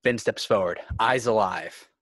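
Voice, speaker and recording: A man's voice: lowish voice, a little slow, a bit bright, dry recording. A man narrating screenplay scene headings and action in a scripted read. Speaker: narrator reading stage directions. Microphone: video call.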